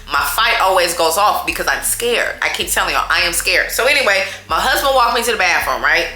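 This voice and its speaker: A woman telling a storytime to her viewers in a loud, chatty, animated way, talking straight to them as y'all. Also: on the low side, a little fast, roomy sound, faint music behind, rough recording. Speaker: storytime vlogger.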